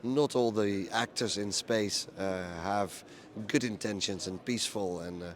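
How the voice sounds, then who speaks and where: Hoarse man recapping a conference. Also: fairly low pitch, measured pace. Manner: plain, steady, many ums and uhs. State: hoarse, lost his voice. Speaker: event reporter recapping a conference. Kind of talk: casual spoken recap to camera.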